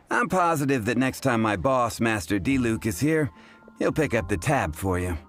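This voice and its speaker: A man's voice: mid-range voice, animated, normal speed, close to an everyday voice. A man voicing a polite, formal tavern bartender. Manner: courteous, formal.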